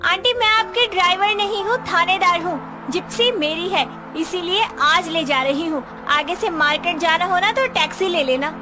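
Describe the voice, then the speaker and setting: A woman plays a confident young policewoman wife in a cartoon story. Her voice is high and she talks in a bossy, self-assured way. Loud music plays behind her. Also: moderate pace. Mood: curt. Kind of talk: Hindi cartoon story voice acting.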